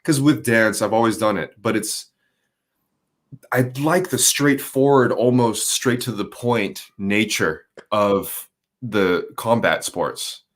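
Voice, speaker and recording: A man with a low voice, speaking as a guest in a relaxed podcast chat. His talk is loose and rambling, and he often pauses to search for the right word.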